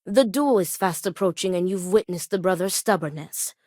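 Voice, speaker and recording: A woman's voice: on the low side, moderate pace, dark tone, dry recording, clean studio sound, close to an everyday voice. A woman playing a stern, dutiful overseer of a family duel in a video game, speaking in formal, precise phrases. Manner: formal, precise, clipped phrasing without contractions. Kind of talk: scripted video game character dialogue.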